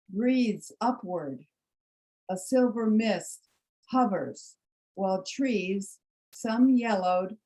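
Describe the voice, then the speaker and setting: Slow, measured woman reading poetry. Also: mid-range voice, mellow, low-quality recording. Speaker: congregation member reading poetry. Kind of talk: poetry reading with spoken introduction.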